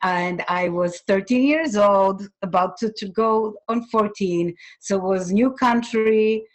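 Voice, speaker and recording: A woman who grew up in Poland, with Polish as her first language, speaks as an interview guest. Her pitch is average. She tells personal stories in a measured, earnest and reflective manner.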